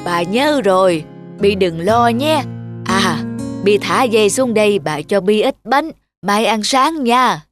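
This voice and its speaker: A woman playing a gentle, timid elderly grandmother who sells snacks, in a cartoon. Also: medium pitch, lively intonation, slow, over music. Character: kind, slow, timid elderly grandmother street vendor.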